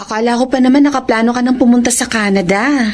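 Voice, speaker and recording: A woman's voice: a little high, fast, noisy background, close to an everyday voice. A woman playing a concerned friend in a radio drama, questioning and sympathetic as she persuades. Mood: concerned, coaxing. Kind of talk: Tagalog radio drama acting. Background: music.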